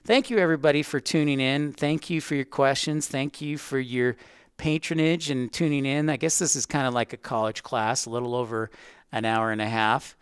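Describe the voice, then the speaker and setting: Clear-voiced man chatting on a livestream. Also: mid-range voice, fairly even intonation, normal speed, dry recording, studio quality. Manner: chatty, rambling, addressing viewers by name. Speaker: livestream host, aviation educator. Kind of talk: casual livestream chat with viewers.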